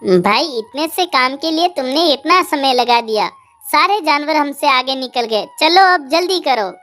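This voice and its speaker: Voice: sits high, lively intonation, measured pace, pushed and bright, full character voice. A performer voicing a pushy, impatient young male calf in a cartoon animal story, always urging others on.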